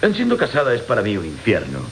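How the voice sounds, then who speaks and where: An actor playing a wary, blunt captain with an eye for women in a classical radio comedy, theatrical and given to mimicry. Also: male voice, fairly low pitch, quick pace. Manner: theatrical, animated, mimics others. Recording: hissy old radio broadcast.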